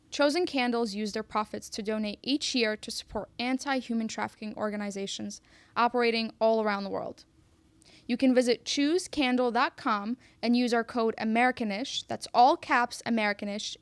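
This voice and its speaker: A woman podcast host reading a sponsor ad, steady and measured, on a studio boom mic. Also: dry recording. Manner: steady, measured, spells out codes. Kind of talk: ad read. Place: podcast studio with purple lighting.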